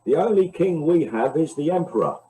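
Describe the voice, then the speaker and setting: A man's voice: fairly high pitch, lively intonation, fairly quick, mellow, slightly rough. A man reading an insistent, hostile chief priest in a dramatized Bible reading, recorded at a distance from the microphone. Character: chief priest, hostile accuser demanding crucifixion.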